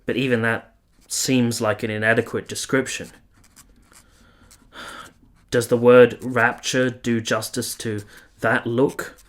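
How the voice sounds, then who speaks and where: An actor playing a weary, earnest, lovestruck army officer who narrates a radio play in solemn, literary, confessional language. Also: male voice, medium pitch, little pitch movement, slow pace, pauses often, lightly acted. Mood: fervent awe. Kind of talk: radio play, first-person letter narration.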